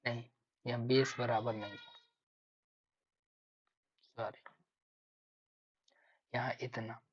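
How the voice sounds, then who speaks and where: Husky man teaching maths. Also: mid-range voice, flat delivery, slow. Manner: instructional, repeats key figures, thinks aloud. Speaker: maths teacher for exam preparation. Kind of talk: step-by-step lesson explanation. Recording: dull lo-fi microphone sound.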